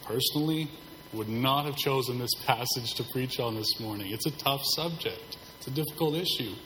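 A hoarse man preaching a sermon as pastor, keeping a measured, subdued delivery to spare his voice. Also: medium pitch, bright, forceful tone. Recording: hall reverb, faint background noise.

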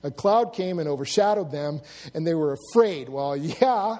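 A middle-aged male pastor preaching a sermon, swinging between loud emphasis and hushed, low intensity, with humorous asides. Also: expressive, normal speed. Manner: storytelling with humor, drawing laughs. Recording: long hall reverb.

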